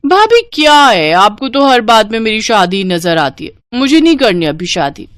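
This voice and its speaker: A woman's voice: on the high side, sing-song, normal speed, clear, resonant tone, no room sound. A woman plays a young sister-in-law in a moral cartoon. She pleads and protests like a whiny, contrite young woman, in a dry recording. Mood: protesting.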